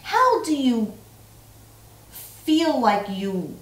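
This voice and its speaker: Echoey, higher-voiced woman in her forties, chatting. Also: very animated, drawn-out words. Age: 40s. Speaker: vlog co-host. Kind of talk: casual chat, answering tag questions. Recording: roomy, echoey sound.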